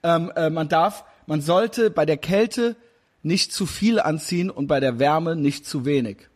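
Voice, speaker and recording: A man's voice: a little high, a little slow. A man in his forties interviewing a guest in a casual chat, rambling with many fillers and restarts. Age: 40s. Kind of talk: casual interview over video call.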